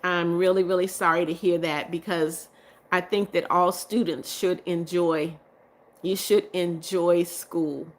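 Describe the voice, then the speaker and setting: A woman's voice: fairly low pitch, fairly even intonation, a little slow. A middle-aged woman hosting a casual livestream, reading out viewer comments and answering them. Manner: reads comments aloud and answers viewers warmly, laughs often. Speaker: livestream host.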